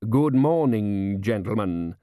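A man voicing a courteous but deadly desert warrior in an audiobook, measured and formal. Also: on the low side, lively intonation, dark tone, clean tone, no room sound, clean studio sound. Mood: calm menace.

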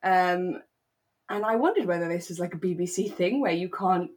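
A woman with a voice a little on the low side. She talks casually and reflectively, like a podcast co-host in an easy discussion with a friend. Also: normal speed, a little mellow. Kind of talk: relaxed casual discussion of a radio drama.

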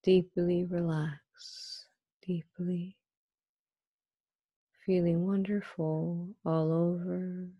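A woman leading a guided meditation in a soft, breathy voice, soothing and hypnotic, with drawn-out, repeated phrases. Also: on the low side, no room sound, muffled recording.

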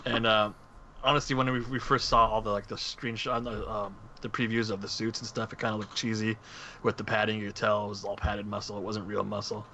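A man with a bright, forceful voice co-hosts a podcast. He talks casually and informally, with faint ambient noise behind him. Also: fairly low pitch, little pitch movement, fairly quick. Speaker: podcast co-host. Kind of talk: casual chat.

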